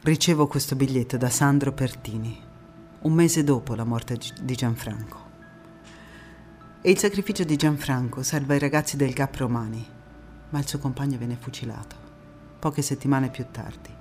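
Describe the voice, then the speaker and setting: An actress playing a young Italian partisan woman who recalls the war in an intense, deliberate theatrical monologue. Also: a bass voice, darkish tone, soft background music. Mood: resolute, reflective. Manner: intense, deliberate, with dramatic pauses and repetitions. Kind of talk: dramatic theatrical monologue narration.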